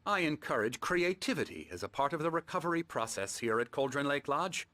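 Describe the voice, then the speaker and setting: A man playing a middle-aged psychiatrist in a video game, calm and measured, patronizing as he soothes patients at his clinic. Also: a little high. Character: calm, smooth, condescending male psychiatrist running a clinic. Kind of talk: video game cutscene acting. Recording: music playing behind the voice.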